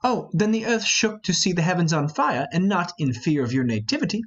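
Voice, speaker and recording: A man's voice: sits high, sing-song, a little fast, husky, highs cut off, exaggerated voice. A man playing a hot-headed, mocking young rebel nobleman in a Shakespearean play reading, scoffing and impatient.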